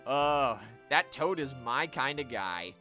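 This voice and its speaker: A man live streaming a video game, chatting to viewers and commenting in an animated way. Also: mid-range voice, slow, over music. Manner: animated, voice-acts game dialogue.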